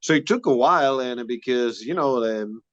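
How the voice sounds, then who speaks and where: A man from Spain with a strong Spanish accent, talking casually as an interview guest, often pausing to search for English words. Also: medium pitch, fairly bright tone, dry recording, highs cut off. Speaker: musician guest.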